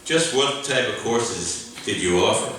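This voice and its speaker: A man's voice: on the low side, lively intonation, a little slow, a bit bright, a little hiss or hum. Man acting a dry nephew, echoey room. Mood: politely probing. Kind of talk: old-time radio comedy acting. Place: library community room before an audience. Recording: echoey live room, distant mic.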